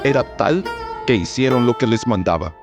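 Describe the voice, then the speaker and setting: A man narrating a scripted story in a measured, formal storytelling manner. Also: mid-range voice, animated, over music.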